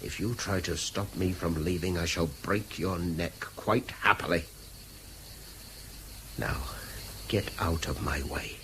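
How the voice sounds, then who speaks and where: An actor playing a guilt-ridden but principled British man in a radio drama, speaking in formal, measured, articulate sentences. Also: sits low, background noise. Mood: defiant, angry. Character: guilt-ridden, principled, indignant educated man under threat. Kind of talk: BBC radio drama acting.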